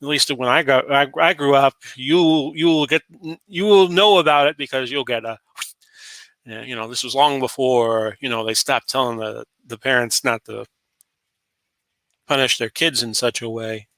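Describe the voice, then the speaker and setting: A man in his late forties from Florida hosts a solo talk show, rambling casually with plenty of fillers and false starts. His voice has a bright, forceful edge and sits at an ordinary pitch. The sound is dry and close, with almost no room around it. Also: normal speed, no echo. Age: 40s. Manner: rambling, frequent fillers and restarts. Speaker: podcast host.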